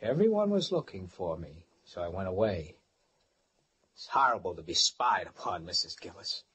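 A man's voice: low voice, sing-song, normal speed, a bit bright, slightly rough, exaggerated voice. An actor playing a paranoid, menacing handyman in an old radio thriller, with a controlled, threatening manner. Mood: brooding paranoia. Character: menacing, paranoid handyman holding a woman captive. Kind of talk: 1940s-50s radio thriller acting. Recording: noisy old-time radio recording.

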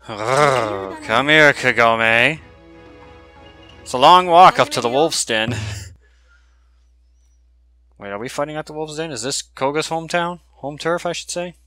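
A man's voice: mid-range voice, animated, a little slow, pauses often, sharp tone, faint music behind. A man doing casual gameplay commentary as a streamer, joking and swearing in slangy banter. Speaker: gaming streamer. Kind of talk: casual game playthrough commentary.

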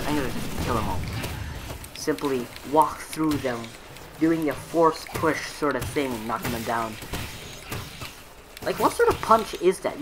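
A man's voice: a little high, background noise. A young man giving casual, rambling, self-mocking voiceover commentary on his own gameplay clips. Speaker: gaming video creator. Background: game music.

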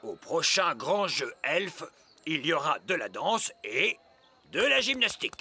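Vieil elfe solennel, très expressif. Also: male voice, a little high, sing-song, measured pace, sharp tone, slightly rough, heavily acted. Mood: surprised, kindly. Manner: ceremonious announcing. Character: old bearded wise elf, pompous but kindly official. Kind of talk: cartoon dubbing. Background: music.

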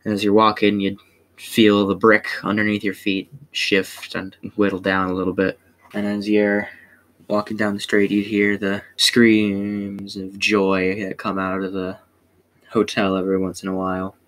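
Low, even-toned young man. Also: measured pace. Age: young adult. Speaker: student storyteller. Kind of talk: descriptive second-person storytelling.

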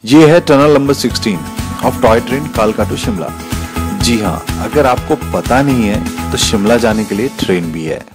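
A man's voice: mid-range voice, lively intonation, normal speed. A man narrating a travel video as scripted voice-over, addressing viewers directly as the host. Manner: addresses viewers directly, switching into English phrases. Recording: loud music under the voice. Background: loud instrumental music.

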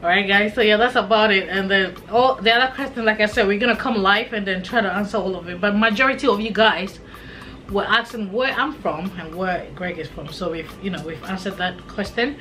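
A woman in her thirties from Ghana, hosting a family vlog at home in an animated, chatty way and checking in with the camera often. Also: medium pitch, a little fast, slight background noise. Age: 30s. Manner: animated, chatty, frequent "all right?". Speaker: family vlogger host. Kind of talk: casual chat to camera. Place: indoor room at home.

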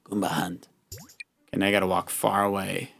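A man's voice: sits low, little pitch movement, a little fast, vocal fry, fairly bright tone. A man giving casual, encouraging gameplay commentary, explaining moves to the player as he goes. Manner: encouraging, instructive. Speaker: co-host of a family Let's Play, the dad. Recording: game music behind the voice. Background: video game music.

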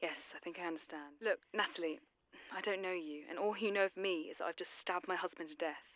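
A woman's voice: lowish voice, no echo, dull audio, natural voice. An actress playing a coldly composed, mockingly polite woman who has killed, in an audio drama. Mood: calm, earnest. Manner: measured, politely taunting.

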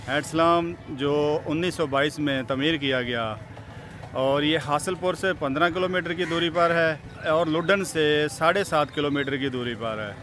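A man's voice: fairly high pitch, fairly bright tone. A man from Pakistan, narrating a travel vlog outdoors and chatting to viewers as friends. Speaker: travel vlogger. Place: outdoors by roads and a river.

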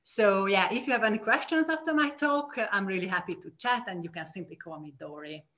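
Woman astrophysicist, thirties, from Hungary, bright clear voice, friendly explainer, video call. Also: mid-range voice, a little fast. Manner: friendly, explanatory, points things out on slides. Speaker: astrophysicist guest speaker. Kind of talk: public science talk. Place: home room.

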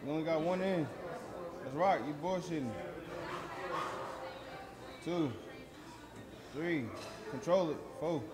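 A man's voice: a little high, slow, long pauses, crowd noise. A young man filming his friend's workout in a large gym, teasing and hyping him up. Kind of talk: casual banter at the gym. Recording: gym music behind the voice. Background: music playing.

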